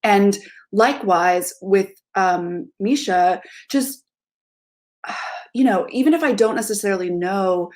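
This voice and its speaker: A woman's voice: medium pitch, slow. A woman in her thirties, a theater director, speaking thoughtfully and emphatically in casual interview answers over a video call from home.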